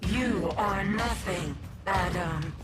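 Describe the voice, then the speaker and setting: A man's voice: sits high, slow, loud music behind the voice. A man voicing a contemptuous, gloating gorilla villain in a cinematic video game, scornful and grandiose.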